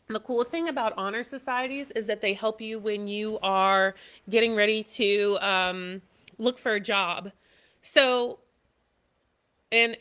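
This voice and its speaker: A woman's voice: medium pitch, sharp tone, clear, resonant tone. A woman with a nasal voice from allergies gives an impromptu live video talk, loose and chatty with many fillers. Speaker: military service member presenting school tips.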